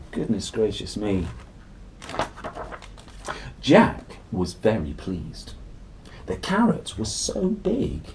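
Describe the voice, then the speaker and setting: A man hosting a picture-book read-aloud, telling the story in a playful, exclamatory way with drawn-out phrases and chatty asides to children. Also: medium pitch, mellow, slight background noise, poor audio. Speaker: host reading picture books aloud.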